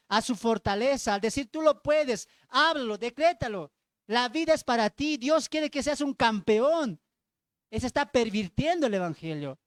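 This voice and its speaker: High-pitched Bolivian man preaching. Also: no echo, studio quality. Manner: emphatic, repeats phrases, asks rhetorical questions. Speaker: evangelical preacher. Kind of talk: sermon with Bible teaching.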